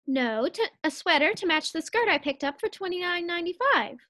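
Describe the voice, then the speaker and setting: A woman's voice: very high voice, expressive, fairly quick, bright, forceful tone, full character voice. A woman acting a scheming, cheerful, scatterbrained housewife in a 1940s-style radio sitcom. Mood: chirpy.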